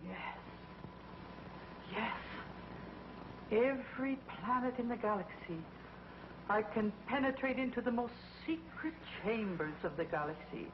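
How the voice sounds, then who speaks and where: A woman playing a vengeful, power-hungry witch queen in a 1950s TV drama, slow and imperious. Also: a little high, lively intonation, background noise. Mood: gloating. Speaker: villain. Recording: muffled old TV soundtrack with loud music.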